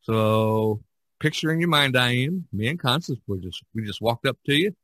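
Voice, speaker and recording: A man's voice: mid-range voice, animated, normal speed, a bit bright, dry recording. A man hosting a casual role-played interview, repeating himself a little as he sets up questions. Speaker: podcast host interviewing artists. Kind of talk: casual play-acted interview.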